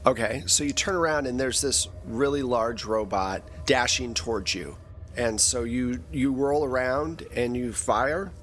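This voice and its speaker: A man's voice: medium pitch, a little slow, noisy background. A man narrating a sci-fi tabletop game as game master, describing scenes and asking the players what they do. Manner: descriptive, prompting players with questions.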